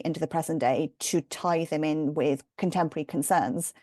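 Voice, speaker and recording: A woman's voice: deep voice, dark tone, no room sound, studio quality. A young woman from London talking articulately as a podcast guest about her research. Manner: articulate, some fillers. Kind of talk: casual podcast chat.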